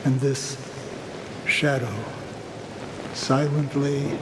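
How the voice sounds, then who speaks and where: An actor playing a grave, cryptic man in a game cutscene, measured, deliberate and foreboding. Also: mid-range voice, little pitch movement. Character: grave, cryptic man speaking of fate and shadow. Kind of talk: dramatic game cutscene acting. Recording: echoey hall, rain and thunder noise.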